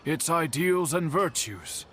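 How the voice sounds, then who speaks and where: A man playing a courteous, worried uncle and swordsmith in a video game, speaking in a formal, measured, earnest way. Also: fairly high pitch, animated. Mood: reverent, earnest.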